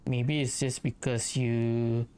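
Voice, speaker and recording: A woman's voice: a bass voice, level tone, takes it slowly, dark tone, clean tone. A woman gaming streamer giving casual, musing commentary with some hesitation as she plays.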